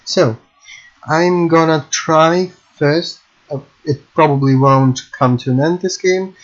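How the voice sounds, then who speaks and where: A man's voice: a little high, slow, lo-fi sound. A man, thinking aloud while he records himself programming at his home computer, hesitant and self-correcting, often apologizing. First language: Russian. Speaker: hobby game programmer.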